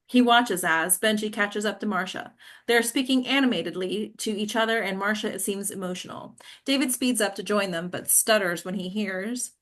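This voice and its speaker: A woman reads stage directions aloud at a table read. Her voice sits at an average pitch, and the scripted narration has an occasional stumble. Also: moderate pace, fairly bright tone. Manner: stumbles over words.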